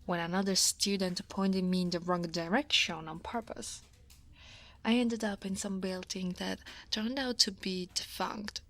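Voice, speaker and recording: A woman from Italy, speaking with an Italian accent, voicing a weary and guarded female dragon office coworker. She talks in a soft, tired half-whisper close to the mic in an ASMR roleplay. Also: on the low side. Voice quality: breathy.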